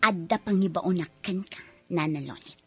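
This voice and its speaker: A woman's voice: lowish voice, moderate pace, darkish tone, slightly rough, faint music behind. A woman playing a bitter, commanding, vengeful wife who schemes in an Ilocano radio drama, with an Ilocano accent. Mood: bitter, scheming. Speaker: voice actor in radio comedy drama.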